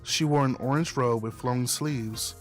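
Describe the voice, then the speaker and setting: A man's voice: medium pitch, moderate pace. A man reading a visual novel aloud as first-person story narration. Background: background music.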